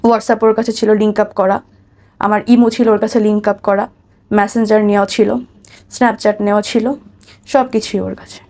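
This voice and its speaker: A woman's voice: mid-range voice, flat delivery, normal speed, a little mellow. A woman with a Bangladeshi accent giving personal testimony as an interview guest, repeating phrases and addressing the host respectfully. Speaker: guest telling her life story.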